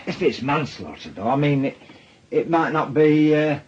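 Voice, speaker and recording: A man's voice: a little high, lively intonation, moderate pace, a little mellow, close to an everyday voice. An actor playing a hesitant, awkward man in a radio drama, fumbling his sympathy. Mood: awkwardly sympathetic. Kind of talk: naturalistic British radio drama. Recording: dull radio drama, faint noise.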